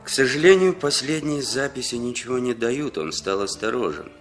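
A man's voice: mid-range voice, normal speed, soft background music, close to an everyday voice. An actor playing a jovial, cynical male intelligence agent in a 1960s Soviet radio play, talking in a casual, bantering manner. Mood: brisk, businesslike.

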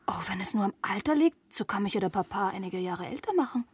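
A woman's voice: medium pitch, lively intonation, fast, slightly rough, dry recording. An actress playing a naive, headstrong, girlish young lady of the manor in a radio play, pert and artless. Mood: pouting, smitten. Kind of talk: German radio play acting.

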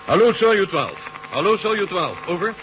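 An actor playing a commanding Soviet marshal in a science-fiction radio play, giving curt, authoritative orders. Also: male voice, high-pitched, big pitch swings, normal speed, highs cut off. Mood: urgent, commanding. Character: commanding Soviet marshal directing space operations. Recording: noisy old radio drama recording.

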